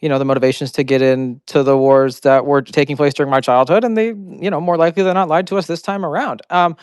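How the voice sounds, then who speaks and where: A man's voice: fairly high pitch, fast, clean tone, dry recording, clean studio sound. A man joining a live audio debate, rambling with slang and many fillers. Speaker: participant. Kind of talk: casual heated debate.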